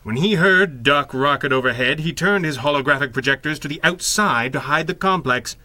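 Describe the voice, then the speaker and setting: A suave masked crimefighter played by a man in an old-time radio serial. His voice is crisp-edged and forceful, recorded close and dry, with precise, condescending phrasing and cool superiority. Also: a little high, brisk, no room sound. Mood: coolly explanatory. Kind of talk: 1930s-style radio serial acting.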